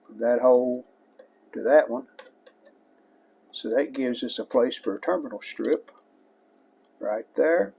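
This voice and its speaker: A man with a creaky, gravelly voice at a medium pitch walks through a hands-on repair job. He talks casually and without hurry, in short plainspoken phrases as he works. A faint noise sits behind his voice. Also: a little mellow. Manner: unhurried, plainspoken, talking in short phrases while working. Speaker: host of a vintage electronics repair channel.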